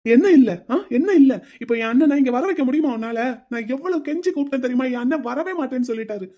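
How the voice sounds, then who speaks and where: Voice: high-pitched, fast, mellow. A performer voicing a heated, accusing young groom. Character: young groom, hurt and resentful.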